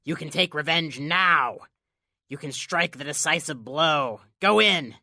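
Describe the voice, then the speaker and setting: Woman acting as small thin male stranger, sly tempter, insinuating, sharp bright tone, dry audio drama sound. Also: low voice, expressive, gravelly, dry recording, heavily acted. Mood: urgent, persuasive. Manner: insinuating, coaxing, dramatic pauses.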